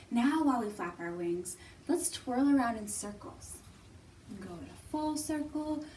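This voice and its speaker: A woman hosting a children's storytime, reading aloud and leading action rhymes with expressive, simple phrasing for little listeners. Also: sits high, a little hiss or hum. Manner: expressive, simple instructions addressed to small children. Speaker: children's librarian and storytime host. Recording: distant microphone.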